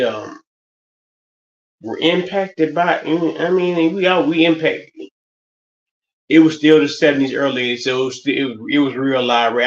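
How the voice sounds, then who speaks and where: A man in his fifties hosting a stream, talking in a loose, rambling way with lots of fillers and restarts. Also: fairly high pitch. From United States. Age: 50s. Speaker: stream host. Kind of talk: casual monologue. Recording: slightly dull lo-fi stream audio.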